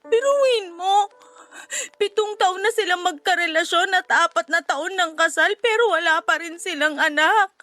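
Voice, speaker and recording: A woman's voice: very high voice, moderate pace, pushed and bright, no echo. A woman playing an anxious, crying wife who longs for a child in a radio drama, pleading and emotional. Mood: anxious, tearful. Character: tearful, anxious wife longing desperately for a child.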